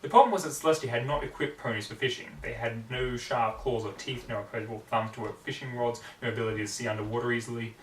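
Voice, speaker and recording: A man reading a story aloud with hammy, expressive narration, recorded in a roomy space away from the microphone. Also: mid-range voice, quick pace, few pauses, a little hiss or hum. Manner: hammy, theatrical. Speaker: amateur story reader. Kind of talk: expressive fan fiction narration. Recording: roomy echo, distant microphone.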